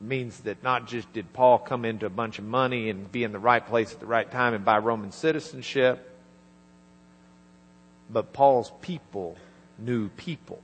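A man preaching a sermon, retelling a scripture story in a conversational way and quietly acting out the lines of its people. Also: medium pitch, normal speed, breathy edge. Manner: conversational, acts out dialogue in quiet asides. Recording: reverberant hall with mains hum.

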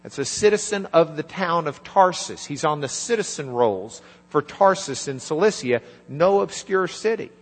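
A man preaching a sermon, retelling a scripture story in a conversational way and quietly acting out the lines of its people. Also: on the high side, lively intonation, moderate pace, hardly any pauses. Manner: conversational, acts out dialogue in quiet asides. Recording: reverberant hall with mains hum.

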